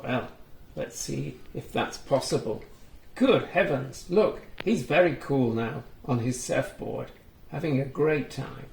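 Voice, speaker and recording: A man reading a children's storybook aloud, expressively, with gentle chatty asides to young listeners. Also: mid-range voice, slow pace, vocal fry, roomy sound.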